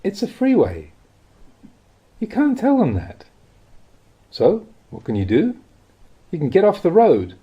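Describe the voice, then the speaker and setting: Guy reading buddhist teachings like an audiobook, soft mellow tone, slightly husky, measured, pitch moves around a lot. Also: huge pitch swings, lo-fi sound. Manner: measured, instructive delivery with deliberate phrasing. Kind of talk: scripted narration, audiobook reading of spiritual teachings.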